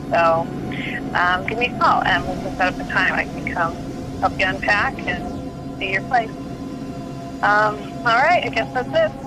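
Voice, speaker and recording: An actress plays a worried, helpful woman in a fiction podcast. Her mid-pitched voice is tentative and apologetic, rambling gently as music plays clearly behind her. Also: lightly acted, low-quality recording.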